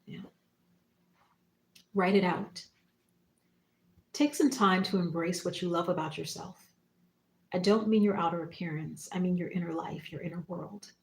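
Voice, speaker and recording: A woman hosting a spiritual livestream, talking casually and reflectively in short phrases and gentle prompts with pauses. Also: lowish voice. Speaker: psychic medium and spiritual teacher hosting a livestream. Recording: roomy, echoing room sound.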